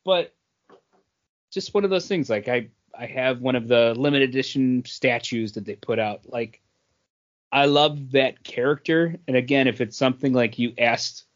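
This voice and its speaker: A man of average pitch talks as a podcast co-host. He speaks in an earnest, halting, conversational style. The sound is somewhat dull and lo-fi. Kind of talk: casual chat, personal reminiscing.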